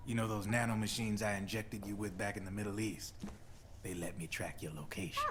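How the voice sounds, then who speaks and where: An actor playing a cocky, streetwise arms dealer in a video game, easygoing, slangy and confident. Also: male voice, lowish voice, fairly even intonation, brisk, heavy background noise, natural voice. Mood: smug. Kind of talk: dramatic video game voice acting. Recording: loud music behind the voice. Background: loud music.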